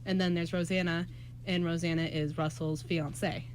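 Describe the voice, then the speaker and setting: A woman's voice: on the low side, little pitch movement, normal speed. A young adult woman hosting a radio drama show, chatting casually and explaining in loose, informal asides. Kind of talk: casual chat. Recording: noisy room recording.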